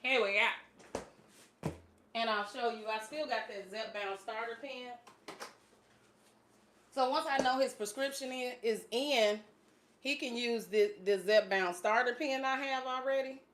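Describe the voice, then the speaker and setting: A woman's voice: on the high side, unhurried, pauses often, fairly bright tone, slight background noise. Chatty woman in her late forties. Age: 40s. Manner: chatty, laughs often, many fillers. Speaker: fitness channel host. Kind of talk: casual livestream chat.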